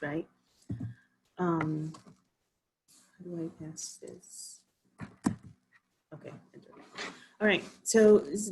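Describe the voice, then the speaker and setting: A woman physician from the Dominican Republic explains a health topic to an online audience over a video call. Her voice sits low and sounds husky. She talks in a halting way, full of fillers and restarted phrases. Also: darkish tone, rough recording. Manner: hesitant, many ums and restarts. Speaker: physician giving a health talk. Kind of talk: informal online presentation.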